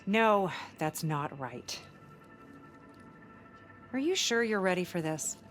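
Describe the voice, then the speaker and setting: A woman playing a devout, wavering cult acolyte in a video game, speaking in halting phrases. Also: on the low side, animated, faint music behind. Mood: doubtful. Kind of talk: video game cutscene acting.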